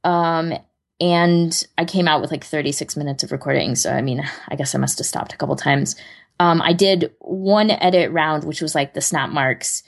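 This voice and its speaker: A woman's voice: sits low, moderate pace, gravelly. A woman co-hosting a podcast, chatting casually in a rambling, self-deprecating way. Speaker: podcast co-host.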